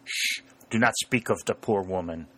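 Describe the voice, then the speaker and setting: A man's voice: deep voice, moderate pace, a bit bright, slightly rough. Man doing a middle-aged farmer, broken English, sly and stubborn, mock-mournful, storybook character. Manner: broken English with foreign phrasing. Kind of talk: audiobook character voice.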